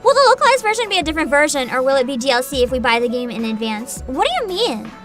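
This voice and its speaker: A woman hosting a livestream, chatting casually with viewers in an excitable, bubbly, giggly manner. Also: high-pitched, fast, music in the background. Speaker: livestreamer host.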